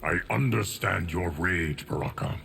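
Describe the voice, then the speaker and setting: An actor playing a stoic, ageless guardian in a video game, grave and measured, with a deep gravelly voice. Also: male voice, fairly bright tone, a little hiss or hum, heavily acted. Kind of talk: dramatic video game acting.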